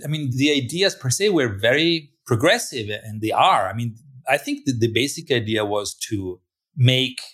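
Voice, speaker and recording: A man's voice: mid-range voice, a bit bright. A man originally from Italy, a film director, talking thoughtfully in an interview with frequent restarts. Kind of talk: interview conversation.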